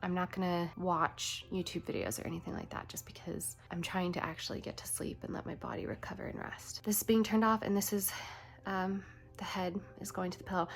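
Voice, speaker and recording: A young woman with a cold, sounding nasal and stuffed up, chatting softly in a casual diary-style vlog and laughing between phrases. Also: fairly low pitch, creaky. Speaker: vlogger.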